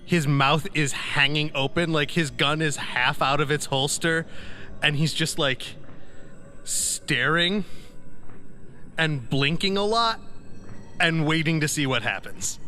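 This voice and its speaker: A man playing a tabletop game, narrating his character casually and haltingly. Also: fairly high pitch, pushed and bright, soft background music.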